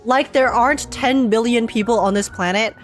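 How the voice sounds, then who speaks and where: A woman's voice: fairly high pitch, normal speed, soft background music. Giggly young woman streamer. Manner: giggly, joking and sarcastic. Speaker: streamer reacting to a game. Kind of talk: casual reaction commentary.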